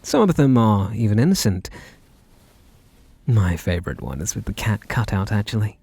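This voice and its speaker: A man's voice: fairly low pitch, sing-song, moderate pace, darkish tone, slightly rough, no echo, heavily acted. A man voicing a teasing, obsessively devoted butler in an intimate ASMR roleplay, full of sugary endearments.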